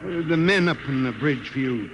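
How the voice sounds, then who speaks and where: A man's voice: mid-range voice, lively intonation, normal speed, highs cut off, lightly acted. An actor playing an awkward, apologetic spaceship crewman with a hesitant, placating manner in a 1950s radio drama. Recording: noisy vintage radio broadcast.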